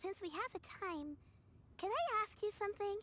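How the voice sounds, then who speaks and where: A woman's voice: extremely high pitch, expressive, moderate pace, fairly bright tone, slight background noise. A woman voicing a curious young girl who talks in a hesitant, earnest way. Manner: hesitant, earnest, a little shy.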